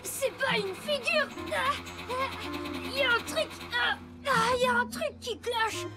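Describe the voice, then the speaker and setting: A woman voicing a cocky, boisterous young motorbike in a children's cartoon, childlike, with loud music behind. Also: very high voice, measured pace. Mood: defiant, upset. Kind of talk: French-dubbed children's cartoon acting.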